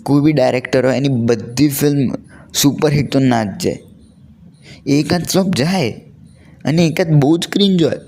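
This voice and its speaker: A man's voice: medium pitch, moderate pace, pauses often, a little mellow. A young adult man chats casually and earnestly, telling stories in a conversational way and mixing in English words. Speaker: vlogger.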